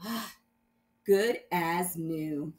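A woman's voice: fairly low pitch, extremely slow, a little mellow, echoey room, voice pushed down. A woman voicing a bold, dramatic boy space hero in a children's storybook, in a theatrical, exclamatory manner. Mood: reassuring. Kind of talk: children's storybook read-aloud.

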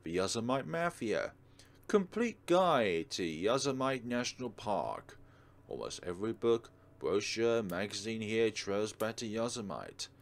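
A man's voice: lowish voice, big pitch swings, unhurried, vocal fry. A man hosting a let's play, reading a visual novel aloud expressively and adding casual commentary. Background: soft game music.

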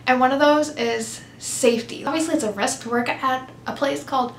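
A woman's voice: high-pitched, fairly even intonation, fairly quick, roomy sound, a little hiss or hum. A young woman in her twenties vlogging casually to camera, upbeat and chatty with lots of "like" and "um" fillers. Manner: chatty, upbeat, many "like" and "um" fillers, laughs often. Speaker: vlogger, mall retail worker. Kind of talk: casual vlog talking to camera. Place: small plain room, indoors, grey backdrop.

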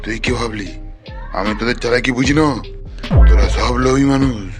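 A vengeful cartoon ghost, acted by a man, guarding its haunted phone. It threatens and curses in a menacing way, over loud music. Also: mid-range voice, brisk, natural voice. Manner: threatening, cursing. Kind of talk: cartoon voice acting. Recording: music behind the voice.

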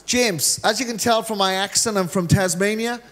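A middle-aged man with a Welsh accent delivers an energetic, humorous sermon, his voice sitting notably high for a man. He speaks into a handheld mic on a large church stage. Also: moderate pace. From Wales. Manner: energetic, joking preacher, gestures freely while pacing the stage. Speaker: guest preacher.

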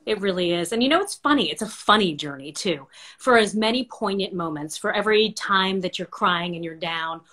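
A woman in her thirties hosting a conversational interview over a video call in an expressive way. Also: fairly low pitch, a little fast, hardly any pauses. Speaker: interviewer, host. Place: home room with bookshelves, video call.

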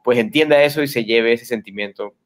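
A man's voice: medium pitch, brisk. A young man, a filmmaker guest, giving thoughtful, reflective answers over headphones on a video call. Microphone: headphones with mic on a video call.

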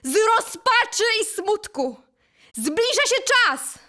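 A woman reciting poetry for an audio drama in an intense, solemn, deliberate manner. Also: very high-pitched, sing-song, pushed and bright. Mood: impassioned. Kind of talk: dramatic poetry recitation, from whisper to impassioned cries. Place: recording studio.

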